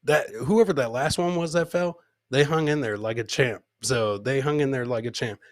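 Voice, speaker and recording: A man's voice: mid-range voice, moderate pace, dry recording, clean studio sound. A man hosting a comedy show, casually commenting on clips with crude, mocking humour and repeating his punchlines.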